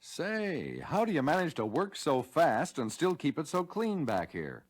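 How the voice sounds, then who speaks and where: A man's voice: a little high, huge pitch swings, a little slow, exaggerated voice. A man playing an agreeable, curious American lunch counter customer in a scripted 1960 training film, musing as he goes. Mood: curious, cheerful.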